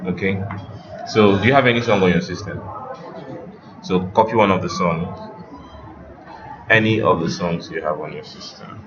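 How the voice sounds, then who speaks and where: A man giving an informal, step-by-step software tutorial as an instructor, repeating phrases as he explains. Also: sits low, normal speed, long pauses. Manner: repetitive step-by-step explaining, checking understanding. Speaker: web development instructor. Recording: roomy, dull audio with music behind.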